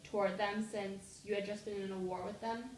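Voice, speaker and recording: A woman's voice: medium pitch, little pitch movement, brisk, darkish tone, echoey room, recorded from a distance, slight background noise, rough recording. Young woman asking casual questions. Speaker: interviewer. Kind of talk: interview questions.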